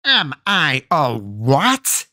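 A man's voice: a little high, huge pitch swings, drawn-out words, sharp tone, dry recording, studio quality, voice pushed up, full character voice. A man voicing a wicked old witch woman who speaks with menacing scorn. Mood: scornful. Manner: sneering, menacing.

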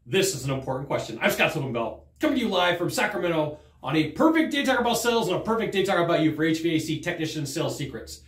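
A man's voice: on the high side, fast, few pauses. A middle-aged man teaching sales technique straight to camera, emphatic and gesturing as he talks. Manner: animated, emphatic, gesturing while talking. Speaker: sales trainer. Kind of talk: direct-to-camera sales lesson. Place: studio in front of a green screen. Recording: roomy studio echo.

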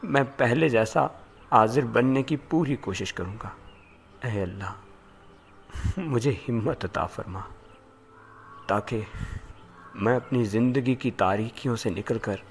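A man's voice: mid-range voice, vocal fry, slight background noise. An actor playing a curt, demanding young male boss in an audio drama. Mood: remorseful prayer. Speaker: office boss. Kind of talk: Urdu audio drama acting.

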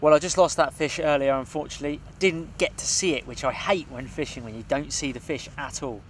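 A man's voice: fairly high pitch, a little fast, husky. A young man, co-hosting a fishing show, seated at a lakeside and talking through his tactics with some ums. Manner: relaxed, hesitant with ums, confiding. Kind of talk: casual outdoor fishing commentary.

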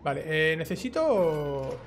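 A young man with a Spain accent plays through a video game and comments as he goes. His voice sits high for a man. He is informal and chatty, switching between asides and reading dialogue aloud, with faint music behind him. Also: big pitch swings, slow pace. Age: young adult. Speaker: gaming YouTuber.

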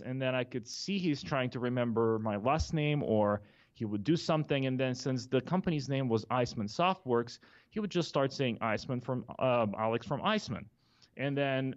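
A man's voice: medium pitch, muffled recording. A man from Russia with a Russian accent, a guest talking casually in an office, full of fillers and restarts. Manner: halting, many fillers and restarts. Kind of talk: casual interview talk. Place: office conference room with windows. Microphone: studio mic on a boom, headphones.

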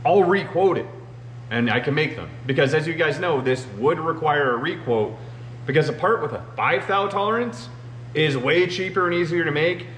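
A man's voice: fairly high pitch, a bit bright. A man in his thirties explains shop matters in a loose, conversational way, dropping "you know" often. He speaks straight to a camera across a large machine shop. The sound is roomy with a faint hum underneath. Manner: conversational, uses many "you know" fillers. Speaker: machinist show host. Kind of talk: casual explanatory talk to camera.

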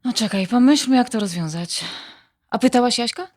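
A woman's voice: a little high, expressive, a little fast, darkish tone. An actress playing a worried, wry adult daughter confiding her troubles in a naturalistic audio drama. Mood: exasperated, wry.

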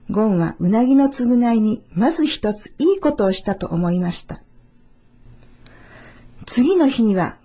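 A woman reading a children's tale as scripted narration, in a measured, expressive storytelling manner. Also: a little high, husky.

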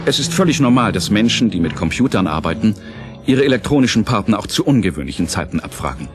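Guy narrating a radio play, lowish voice, music going behind him. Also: very animated, fast talker. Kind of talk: scripted audio drama narration. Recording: clear music under narration.

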